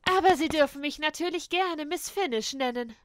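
A woman's voice: high-pitched, a bit bright, a little hiss or hum. A woman voicing a flamboyant pony photographer working as a substitute teacher, trilling theatrically in a fan audio drama. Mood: theatrical, grand. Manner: trilling, theatrical, drawn-out delivery.

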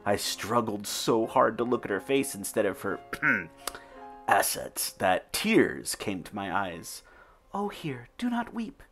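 A man's voice: fairly low pitch, animated, takes it slowly, fairly bright tone, faint music behind. A man giving a wry, theatrical first-person reading of his own fan fiction. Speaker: narrator.

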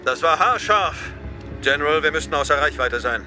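A man playing a dutiful, brisk soldier officer with a clipped military manner. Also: fairly high pitch, lively intonation, music in the background, natural voice. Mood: alert.

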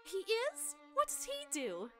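A woman's voice: very high-pitched, huge pitch swings, measured pace, soft background music, exaggerated voice. A woman voicing a worried, exasperated young mother in an anime parody, fretful in manner. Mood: alarmed. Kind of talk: anime parody dub acting.

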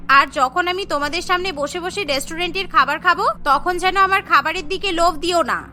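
A woman's voice: very high voice, fairly quick, pushed and bright. A woman voicing a haughty, spiteful young rich daughter-in-law who sneers, boasts and scolds in a cartoon family drama. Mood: resentful, haughty.

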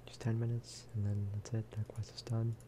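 A man giving a relaxed, casual ASMR gameplay commentary in a soft, breathy whisper, close to the microphone. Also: on the low side, moderate pace, background noise. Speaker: video game streamer and host.

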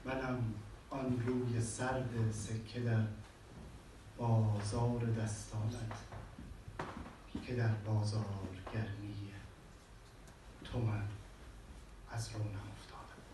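A middle-aged man, reading his own poems slowly and carefully on a small stage, speaking into a handheld mic. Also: on the low side, level tone, noisy background. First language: Persian. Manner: slow, measured recitation with long pauses. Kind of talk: live poetry reading. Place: small gallery stage with a seated audience. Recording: echoey room, heard from a distance.

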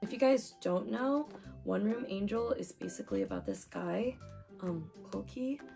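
A young woman with a hoarse voice from talking too much, chatting excitedly while unboxing manga. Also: mid-range voice, normal speed, faint music behind. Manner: chatty, excitable, lots of fillers. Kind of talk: casual unboxing chat.

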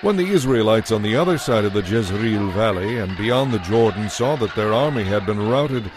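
Low-pitched, solemn male Bible narrator. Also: expressive, normal speed, background noise. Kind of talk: scripted dramatized Bible narration.

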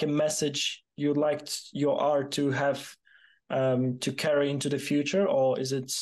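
Young Polish man, very even pitch. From Poland. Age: 20s. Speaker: interviewer. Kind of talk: conversational video interview. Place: small room, indoors, video call.

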